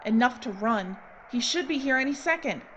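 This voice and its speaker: An actress playing a determined, remorseful filmmaker in danger in an audio drama. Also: female voice, on the high side, animated, moderate pace, natural voice. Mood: hurried. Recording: dull audio, faint background noise.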